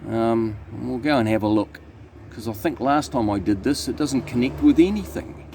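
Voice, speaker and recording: A man's voice: mid-range voice, normal speed. Man doing repair commentary over background noise. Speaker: truck radio technician. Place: inside a truck cab and on its roof. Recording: steady background noise, vehicle ambience.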